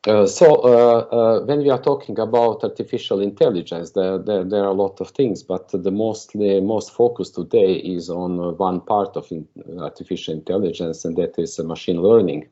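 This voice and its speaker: A man's voice: low-pitched, moderate pace, hardly any pauses, mellow. A man from Croatia with a Croatian accent gives a conference keynote over a video call, hesitant and full of filler sounds. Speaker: keynote speaker. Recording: dull lo-fi video call audio.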